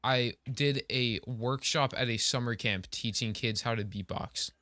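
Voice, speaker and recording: A man's voice: mid-range voice, unhurried, a crowd in the background. Male podcast co-host, casual chat, informal, enthusiastic, mid-range voice.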